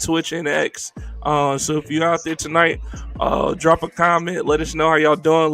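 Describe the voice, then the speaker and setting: A man's voice: fairly high pitch, creaky, a bit bright. A man hosting a casual anime podcast, joking and laughing easily as he introduces his co-hosts. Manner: laid-back, joking, laughs often. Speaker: podcast host.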